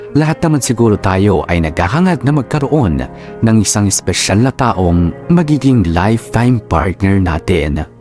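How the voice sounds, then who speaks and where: A man narrates a radio drama in a low voice. His scripted storytelling has lively intonation, with music playing underneath.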